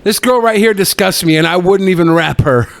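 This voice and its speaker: A man's voice: high-pitched, a little fast, creaky. A man co-hosting a podcast, trading casual, mocking comedic banter as he reacts to clips. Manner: mocking, joking. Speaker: podcast co-host.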